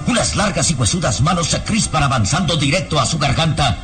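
A man narrating a radio serial in a suspenseful, theatrical and measured scripted style. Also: on the high side, lively intonation. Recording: radio drama under loud music.

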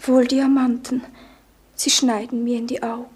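High-voiced, pleading spurned lover. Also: female voice, flat delivery, normal speed, a little mellow, breathy edge. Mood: wounded, pleading. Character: lovelorn, pleading young woman, the prince's spurned lover. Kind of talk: 1950s German radio play acting.

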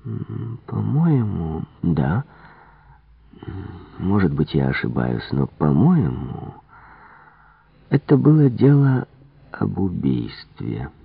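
Man playing a low, musing Belgian detective. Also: very animated, vocal fry, husky, no room sound, heavily acted. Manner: deliberate, musing, gently probing. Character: shrewd, courteous middle-aged male Belgian detective. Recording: muffled, phone-like narrow-band audio.

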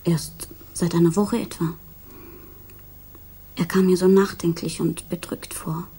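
An actress playing a grief-stricken, loyal young fiancée, soft, breathy and subdued, in a 1960s German radio crime drama. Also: female voice, fairly low pitch, level tone, a little slow, low-quality recording. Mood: troubled, subdued. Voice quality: soft, breathy, often near whisper. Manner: hesitant, subdued.

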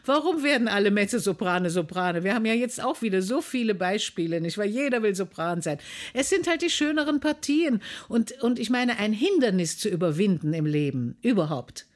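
A woman's voice: mid-range voice, expressive, brisk. A woman talking conversationally in an interview, emphatic and opinionated.

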